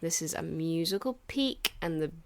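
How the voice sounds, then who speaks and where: An actress playing a cheeky young hitchhiker, teasing in a playful deadpan way, in an audio drama. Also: female voice, low-pitched, normal speed, no room sound.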